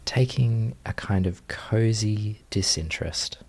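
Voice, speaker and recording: Low, slow, creaky-voiced man guiding meditation. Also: a little hiss or hum. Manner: gentle, unhurried, inviting, with long pauses. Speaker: meditation teacher. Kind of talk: guided meditation.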